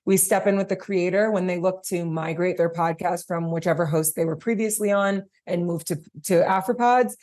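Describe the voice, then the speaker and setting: Woman, slightly low pitch, conversational interview guest. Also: normal speed, hardly any pauses, a little mellow. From New York, United States. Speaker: guest, podcast platform chief executive.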